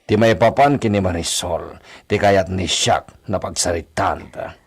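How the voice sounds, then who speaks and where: A man's voice: low voice, a little slow, husky, full character voice. An actor playing a proud hacienda master, indulgent with his daughter, in radio drama style with Spanish phrases mixed in. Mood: cold, bitter. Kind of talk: Ilocano radio drama acting.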